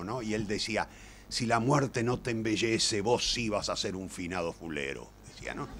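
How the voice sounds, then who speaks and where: A man's voice: on the low side, fairly bright tone, a little hiss or hum. An older man with an Argentine Rioplatense accent gives an informal lecture, speaking colloquially like a storyteller and repeating words for emphasis. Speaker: playwright and lecturer.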